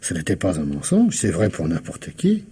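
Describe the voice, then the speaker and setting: An actor playing a shrewd, courteous police commissioner in a radio mystery drama, husky and politely insinuating as he questions methodically. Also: deep voice, wildly swooping pitch, moderate pace, dark tone, exaggerated voice. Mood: knowing, gentle.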